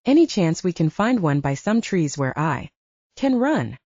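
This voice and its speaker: A woman's voice: on the low side, sing-song, measured pace, darkish tone, slightly rough, dry recording, highs cut off, exaggerated voice. A woman voicing a smitten young man in a romance audiobook, teasing and affectionate. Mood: tentative.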